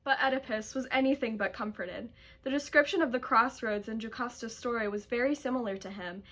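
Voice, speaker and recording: A woman's voice: fairly high pitch, fairly quick, fairly bright tone. A woman giving scripted storytelling narration for an amateur puppet show.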